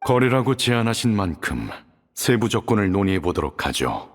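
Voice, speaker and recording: A deep, dignified male judge. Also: big pitch swings, moderate pace, a little hiss or hum. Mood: grave. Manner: polite, grave and formal. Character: calm, dignified male judge, formal and grave. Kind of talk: video game voice acting. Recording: reverberant game audio with music.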